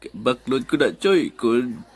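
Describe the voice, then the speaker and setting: A man's voice: medium pitch, lively intonation, slow. A man playing an easygoing scrap buyer. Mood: bargaining. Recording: noisy amateur recording.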